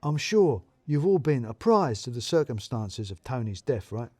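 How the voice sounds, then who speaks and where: A man's voice: mid-range voice, big pitch swings, normal speed, a little mellow, no room sound. Man playing a pompous, bossy office boss. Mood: grave, insinuating. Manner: pompous, clipped, emphatic. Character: self-important male office boss, bossy and jargon-spouting.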